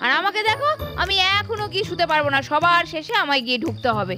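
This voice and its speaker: A put-upon village mother doll, as a woman narrator plays her, scolds and nags with sarcasm. The voice is very high and piercing, with faint music beneath the puppet cartoon. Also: animated, fast talker, bright, forceful tone, full character voice. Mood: exasperated. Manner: sarcastic, nagging.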